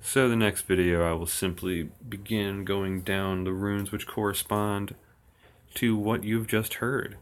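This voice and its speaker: A man reciting old verse slowly and steadily on his livestream, with dry asides. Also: fairly low pitch, fairly even intonation. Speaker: occult streamer. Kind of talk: reading verse aloud on a livestream.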